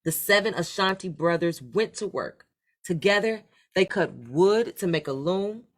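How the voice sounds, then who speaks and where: A woman reading a children's story aloud in an expressive, deliberate storytelling manner. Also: lowish voice. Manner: animated, deliberate read-aloud delivery. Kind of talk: expressive scripted storybook narration.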